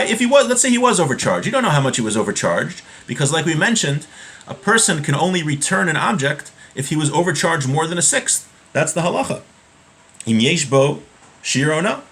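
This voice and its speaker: A man in his thirties, his voice sitting a little high, gives a lesson at his desk. He reads passages aloud in Hebrew, then explains them in easy, casual English. The sound comes from a webcam in a small room at home. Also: fairly bright tone. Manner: alternates chanted Hebrew reading with casual explanation. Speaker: Torah teacher.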